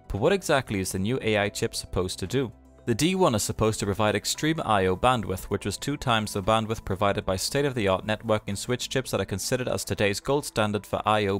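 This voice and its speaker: A man reading a scripted tech explainer voice-over, rattling through dense figures in an even read. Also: lowish voice. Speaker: narrator of a tech explainer channel. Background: faint background music.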